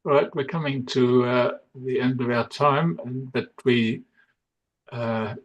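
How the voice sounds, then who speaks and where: Husky-voiced male meeting host. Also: medium pitch, a little slow, lo-fi sound. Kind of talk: casual discussion.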